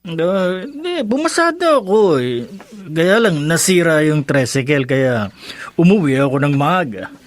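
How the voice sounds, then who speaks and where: An actor playing a sheepish, placating Filipino husband with a hesitant delivery in a radio drama. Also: male voice, a little high, big pitch swings, measured pace, no room sound. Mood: apologetic, evasive.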